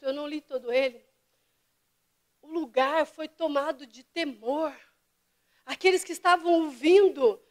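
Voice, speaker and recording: A woman pastor delivering a fervent sermon to her church, dropping to hushed whispers and rising to impassioned cries. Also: very high voice. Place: church service.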